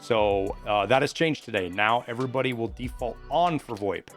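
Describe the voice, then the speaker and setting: A man's voice: medium pitch, normal speed. A man hosting a video game news update in an informal, conversational, explanatory way. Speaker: gaming news host. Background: background music.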